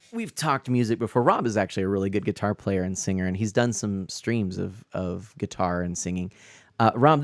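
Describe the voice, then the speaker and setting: A man's voice: on the low side, darkish tone, no echo. A man hosting his own live stream, chatting in an upbeat, casual way with frequent laughs and fillers. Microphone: streaming microphone.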